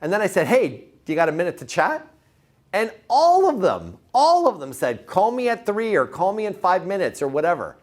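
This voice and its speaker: A man's voice: high-pitched, big pitch swings, moderate pace, a little mellow. A man giving an informal motivational sales presentation, repeating words for emphasis and putting questions to his listeners. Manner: informal, punchy, asks the audience questions. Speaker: sales trainer presenting to real estate agents.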